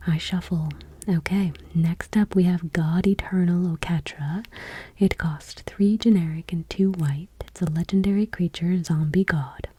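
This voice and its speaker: Hushed, breathy woman whispering ASMR. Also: lowish voice, steady tone, measured pace, no echo. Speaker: ASMR video host. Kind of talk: soft-spoken ASMR, reading card text aloud.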